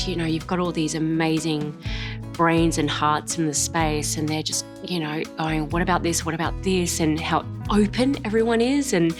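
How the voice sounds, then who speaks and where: An enthusiastic, informal woman in an interview. Her voice is low and she takes her time, while guitar music plays clearly behind her. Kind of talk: casual interview.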